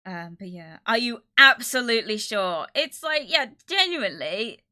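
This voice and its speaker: A woman's voice: fairly high pitch, sing-song, moderate pace, bright, forceful tone. A woman in her twenties chatting casually and candidly as a live streamer, emphatic and repeating phrases for stress. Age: 20s. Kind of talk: casual livestream chat, candid personal talk.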